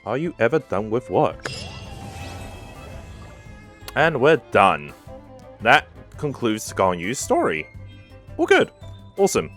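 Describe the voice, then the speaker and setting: A man's voice: medium pitch, wildly swooping pitch, takes it slowly, long pauses, fairly bright tone, soft background music. A man giving casual let's play commentary as a gaming YouTuber. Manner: echoes and paraphrases the on-screen dialogue.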